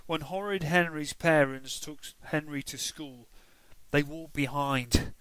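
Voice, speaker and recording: A man reading a children's storybook aloud, expressive and emphatic, with playful asides. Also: fairly high pitch, slow, clear, resonant tone, no room sound, rough recording.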